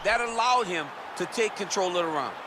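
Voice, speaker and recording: A man's voice: high-pitched, lively intonation, a little fast, over a noisy crowd. A man voicing an enthusiastic fight analyst in a video game, emphatic and analytical in his commentary. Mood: keen, impressed. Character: enthusiastic male fight analyst breaking down strikes. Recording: loud arena noise over the voice. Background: noisy fight arena game sounds.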